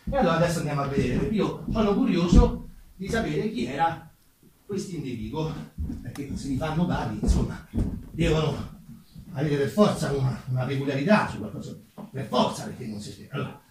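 A man's voice: on the high side, lively intonation, brisk, heavy background noise. A man with a Roman Italian accent tells history theatrically to an audience, with colloquial, ironic asides. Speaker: stage narrator, solo theatre performer. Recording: very echoey room, distant microphone.

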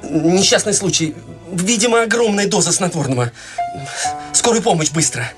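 A man's voice: high-pitched, expressive, moderate pace, music in the background. An actor playing an earnest, devoted young man in a radio play, with a shy, sincere way of talking. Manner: earnest, shy, turns urgent when alarmed.

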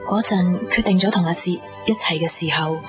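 A woman's voice: lowish voice, fairly quick, natural voice. An actress with a Hong Kong Cantonese accent playing a sensitive, stubborn young daughter in a radio drama, who also narrates her own love story. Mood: melancholy. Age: young adult. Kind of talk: radio drama acting with reflective first-person narration. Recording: dull 1990s radio recording under music.

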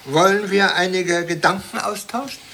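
An actor playing a pompous, touchy old academy president in an absurdist radio comedy, theatrical and forever interrupting himself. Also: male voice, high-pitched, normal speed, sharp tone, slight background noise. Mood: ceremonious. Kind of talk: absurdist comic radio play acting.

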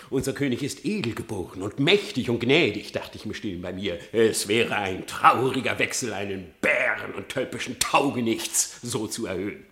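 An actor playing a cunning, lying fox who feigns pious repentance in a theatrical, slippery manner for a radio play in verse. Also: male voice, on the low side, pushed and bright. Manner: theatrical, slippery, swinging from whispers to outcries.

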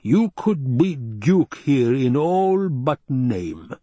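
A man voicing an obese, sinister male baron with a rumbling basso voice, slow and taunting, in an audiobook. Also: sing-song, dark tone, no echo, lo-fi sound, exaggerated voice. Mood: gloating. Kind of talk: audiobook character voice.

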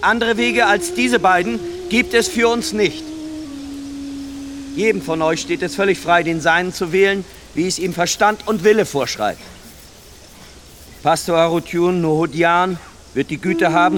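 An actor playing a solemn village priest presiding over a vote, announcing in a measured, formal way in a radio drama. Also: male voice, high voice. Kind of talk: German radio drama acting.

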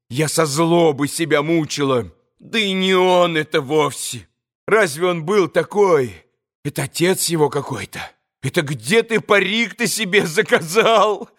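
A man's voice: sits high, a bit bright. Man voicing a passionate, bitterly wailing young woman. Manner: exclamatory, dramatic, repeating herself.

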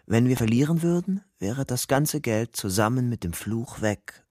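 An actor playing a weary young ex-convict on the run in a German crime radio drama, haunted but stubbornly hopeful, in a subdued, confessional way. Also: male voice, medium pitch, animated, moderate pace, mellow, no echo, studio quality, natural voice. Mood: subdued, bleak. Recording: music bed under the voice.

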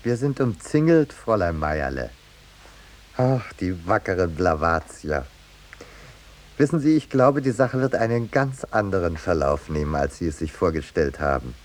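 Deep, husky, wry gentleman painter. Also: big pitch swings, unhurried, heavily acted. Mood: amused. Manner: dry, courteous, wry. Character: mild, ironic male landscape painter, politely unruffled. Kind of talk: 1950s German radio narration and acting.

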